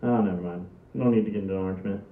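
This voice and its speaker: A man's voice: low voice, fairly even intonation, rapid-fire, lightly acted. Man reading as dry sensible son, tinny, distant. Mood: awkward, exasperated. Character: exasperated, sensible adult son and brother. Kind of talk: amateur table read from script. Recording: tinny echoey distant camera audio.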